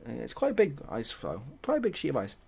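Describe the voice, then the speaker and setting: A softly spoken man improvising a story in a hesitant, rambling, deadpan way. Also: medium pitch, fast. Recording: clearly audible background noise.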